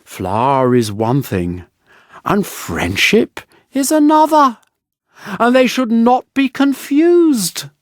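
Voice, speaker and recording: A man's voice: very high voice, sing-song, slow pace, no room sound, voice pushed up, full character voice. A man voicing a pompous, selfish middle-aged miller who moralizes in a self-important storybook manner. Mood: pompous lecturing.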